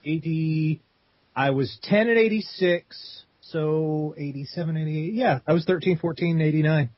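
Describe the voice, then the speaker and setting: A man's voice: fairly high pitch, a little slow, dry recording. Swearing joking man in his thirties. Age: 30s. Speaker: commentary guest. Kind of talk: casual group commentary. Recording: dull lo-fi online call audio.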